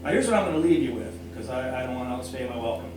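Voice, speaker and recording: A man's voice: mid-range voice, animated, very fast. A man giving impassioned, emphatic public comment, repeating points for stress. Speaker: citizen speaker at a public council meeting. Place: indoor council meeting room. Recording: echoey meeting room, distant mic, noisy hum.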